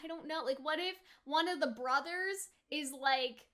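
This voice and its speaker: Female reaction host, very high and bright voice, chatty and slangy, casual commentary. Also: a little slow. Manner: chatty, animated retellings with slangy asides.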